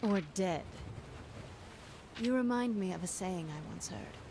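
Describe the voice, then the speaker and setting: A woman's voice: medium pitch, expressive, measured pace, warm tone. An actress playing a confident, teasing female pirate captain in a video game. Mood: sly, cool. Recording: noisy ambient game soundscape behind.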